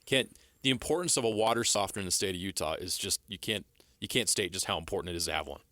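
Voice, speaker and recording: Male radio co-host, lowish bright voice, casual sports chat, dry studio sound. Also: fast talker, no echo. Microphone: close studio microphone.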